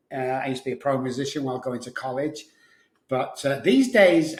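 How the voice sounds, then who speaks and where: A middle-aged man with a Manchester English accent, answering interview questions conversationally as a podcast guest. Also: mid-range voice. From Manchester, England. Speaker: podcast guest, addiction recovery specialist. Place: home office and recording studio. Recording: roomy, echoey office studio.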